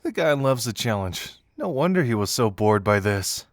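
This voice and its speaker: Man acting a playful detective, very lively pitch. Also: normal speed, no room sound, studio quality, natural voice. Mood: amused, recapping. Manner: teasing, amused, conversational. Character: playful, teasing young male detective, amused confidant. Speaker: voice actor in an audio roleplay. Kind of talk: intimate scripted audio roleplay addressed to the listener.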